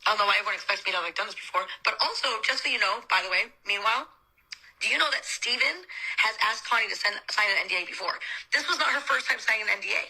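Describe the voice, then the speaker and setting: A woman's voice: medium pitch, animated, very fast, gravelly, sharp tone, slightly rough. A woman in a phone conversation, defensive and insistent, cutting in as she argues her side on a replayed call. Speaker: entertainment industry professional on a call. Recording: muffled-voiced phone call, replayed clip.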